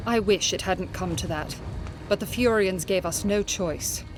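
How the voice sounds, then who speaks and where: An actress playing a stern, defiant military commander in a video game, clipped and authoritative. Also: female voice, mid-range voice, measured pace, noisy background, lightly acted. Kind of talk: scripted video game dialogue.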